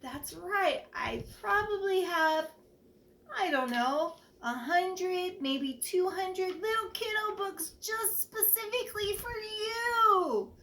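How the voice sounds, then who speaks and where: A woman talking to young children as a story reader, in an animated, sing-song way. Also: very high-pitched, slow pace, slight background noise. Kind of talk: read-aloud to young children. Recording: echoey room.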